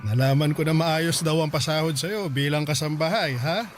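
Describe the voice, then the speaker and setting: A man's voice: a little high, moderate pace, slight background noise, close to an everyday voice. A man playing a shameless middle-aged father who talks smugly and mocks others in a radio drama. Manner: smug, mocking, laughing.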